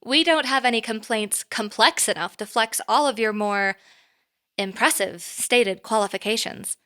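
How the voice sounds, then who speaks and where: A woman playing a poised, reserved boss in an audio drama, speaking formally and in a measured way. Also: fairly high pitch, sharp tone. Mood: cool, businesslike.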